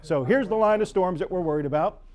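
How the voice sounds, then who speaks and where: A man's voice: sits high, fast talker. An American man working as a TV weather forecaster, ad-libbing live storm coverage in an explanatory way. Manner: explanatory, unscripted.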